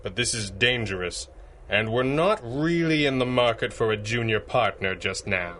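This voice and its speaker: An actor playing a commanding masked superhero of the 1930s in a radio drama, authoritative and dryly witty. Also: male voice, medium pitch, animated, normal speed, a bit bright, slight background noise, close to an everyday voice. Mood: brisk, dry. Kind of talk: radio drama acting in a pulp serial style.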